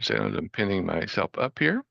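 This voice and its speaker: Upbeat male host, gravelly and bright, on a dry, slightly dull video call line. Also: on the low side, big pitch swings, normal speed, dry recording. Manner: upbeat, enthusiastic. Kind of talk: online show introduction.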